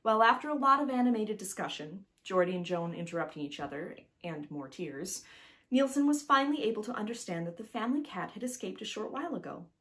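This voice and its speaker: Expressive woman reading a children's storybook. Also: medium pitch, a little fast. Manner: animated, chatty asides to the listener. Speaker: author reading her own picture book.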